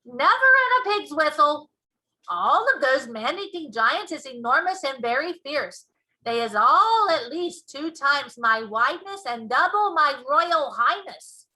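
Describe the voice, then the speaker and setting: A woman voicing a big friendly male giant from a children's storybook, folksy and excitable, with muddled grammar and made-up words. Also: high-pitched, measured pace, full character voice, low-quality recording. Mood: emphatic. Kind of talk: children's storybook read-aloud.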